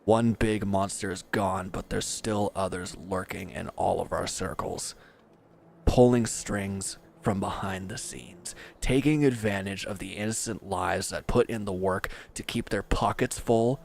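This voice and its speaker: A man's voice: low voice, unhurried, creaky, a little mellow, a little hiss or hum. A man playing a bitter, wounded villain who is grieving and accusing, speaking with weary sarcasm in a scripted audio roleplay addressed to the listener.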